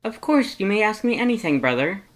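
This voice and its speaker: Loud booming male cartoon skeleton, acted by a narrator, pitched high, exuberant, emphatic, kind-hearted, formal wording. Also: very animated, fairly quick, lightly acted. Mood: eager, affirming. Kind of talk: animated fan fiction character reading.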